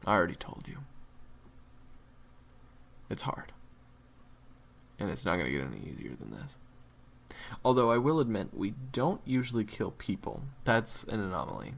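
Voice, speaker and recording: A man plays a world-weary monster hunter with a fairly low voice. He talks bluntly and earnestly in a halting, profane way, like a mentor levelling with a rookie in an audio roleplay. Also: sing-song, gravelly, fairly bright tone, heavily acted. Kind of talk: dramatic audio roleplay monologue.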